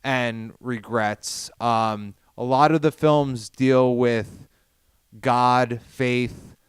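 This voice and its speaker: A man's voice: mid-range voice, steady tone, drawn-out words, clean tone, dry recording, clean studio sound. A man co-hosting a film podcast, talking thoughtfully and analytically. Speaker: podcast co-host. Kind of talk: casual film podcast chat.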